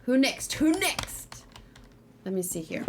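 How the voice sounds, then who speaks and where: A woman doing a casual let's play, excitable and thinking aloud, often repeating her phrases as she goes. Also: a little high, slow pace, slight background noise. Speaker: game streamer, host. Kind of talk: casual let's play chat and live game commentary.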